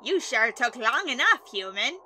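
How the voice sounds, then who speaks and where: A woman's voice: on the high side, big pitch swings, moderate pace, noisy background. A woman voicing a boastful, haughty, theatrical male skeleton villain in a cartoon comic dub. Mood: haughty, triumphant. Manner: pompous, haughty.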